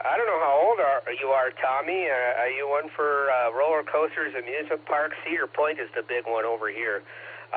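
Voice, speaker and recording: Man, late thirties, from Ohio, rambling radio chat, filler-heavy, tinny transmission, faint hiss. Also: mid-range voice. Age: 30s. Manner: rambling, many fillers and restarts. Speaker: ham radio net operator.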